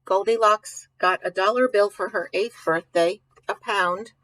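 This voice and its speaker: A woman reads a picture book to young children at an unhurried pace, in a mid-pitched voice. She pauses to ask questions and think aloud, explaining things in simple words. The sound is close and dry. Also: a bit bright, no echo, rough recording. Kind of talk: read-aloud with informal commentary to young children.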